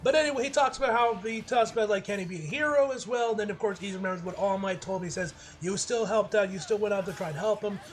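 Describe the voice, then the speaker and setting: A man giving a casual, rambling episode review as an excitable fan, with slangy, profane talk. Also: sits high, quick pace, few pauses, slight background noise, poor audio. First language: English. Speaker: anime reviewer.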